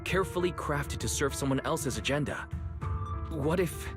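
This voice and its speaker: A man playing a calm, perceptive young man in a video game, earnest and deliberate as he speaks. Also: a little high, natural voice. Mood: earnest, probing. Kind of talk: video game story voice acting. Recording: game music behind the voice.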